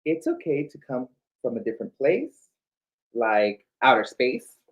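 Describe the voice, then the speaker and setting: Slightly muffled livestream audio carries a drag queen reading to children. The voice is mid-pitched and very animated, with a playful, campy turn to the read-aloud and banter. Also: measured pace. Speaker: drag queen story time co-host.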